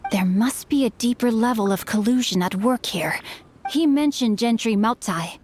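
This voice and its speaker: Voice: medium pitch, normal speed, a little hiss or hum, close to an everyday voice. A voice actor playing a refined, bookish young nobleman in a video game, speaking in a polished, courteous way. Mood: calm.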